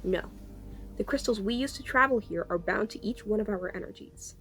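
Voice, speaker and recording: Woman playing a brisk, impatient sci-fi engineer. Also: mid-range voice, fairly bright tone, lightly acted. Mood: grave, urgent. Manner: brisk, explanatory, technical, impatient. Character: exasperated, technically minded female time-travel engineer. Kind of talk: cinematic audio roleplay acting.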